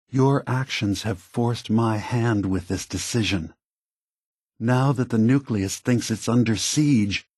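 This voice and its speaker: Thoughtful synth leader, video game character, weary, grave, peace-seeking, husky, deliberate pacing, close dry sound. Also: male voice, on the low side, a little mellow, no echo. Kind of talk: scripted video game dialogue.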